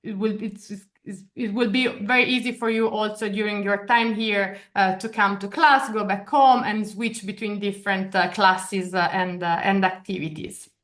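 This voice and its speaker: A woman's voice: medium pitch, fairly even intonation, moderate pace, few pauses, fairly bright tone. A woman in her thirties with an Italian accent, presenting a study program over a video call, with many hesitations and restarts. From Italy. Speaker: program director. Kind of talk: online information session presentation. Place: office in Venice, video call.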